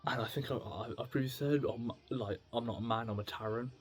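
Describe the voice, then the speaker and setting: A man's voice: mid-range voice, fast. A man in his late teens talking casually and confessionally to camera, rambling and stammering as he thinks aloud. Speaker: video blogger.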